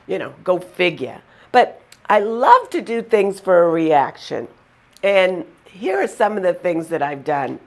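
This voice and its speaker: A woman with a Boston accent tells her stories with a chatty, amused energy. Her voice sits a little low for a woman, and she talks straight to the camera. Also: sing-song, unhurried. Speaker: vlogger. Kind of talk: casual storytelling to camera.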